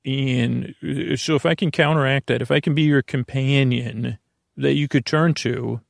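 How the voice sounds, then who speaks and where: A man hosting a bedtime podcast, rambling in a meandering, halting monologue that often trails off mid-thought and has a creaky quality. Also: mid-range voice, steady tone, no room sound, studio quality. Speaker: podcast host. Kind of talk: rambling bedtime monologue meant to lull listeners to sleep.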